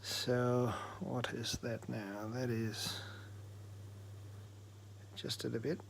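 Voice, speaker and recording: Male presenter, informal hands-on tech demo, explains methodically in a mid-pitched voice. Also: steady tone, very slow, vocal fry, a little hiss or hum. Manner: explanatory, step by step. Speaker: presenter of an electronics repair demo. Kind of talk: informal technical demonstration.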